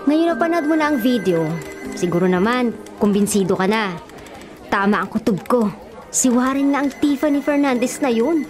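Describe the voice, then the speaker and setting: Actress as young female friend, high-pitched, frank, sensible, Filipino accent, radio drama style, faint music. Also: expressive, moderate pace, natural voice. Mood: matter-of-fact.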